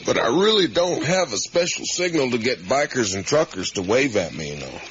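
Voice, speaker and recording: An actor playing an easygoing, talkative middle-aged father in a naturalistic radio drama, with a folksy, rambling, gently teasing manner. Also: medium pitch, big pitch swings, moderate pace, lightly acted. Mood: musing, playful. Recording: muffled, hissy 1980s radio broadcast.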